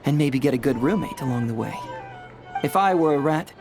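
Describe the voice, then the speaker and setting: A man's voice: mid-range voice, lively intonation, brisk, music in the background, lightly acted. An actor playing a cheerful young male detective in a fantasy game, chatty, theatrical and courteous. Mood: curious, courteous. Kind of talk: animated game voice acting.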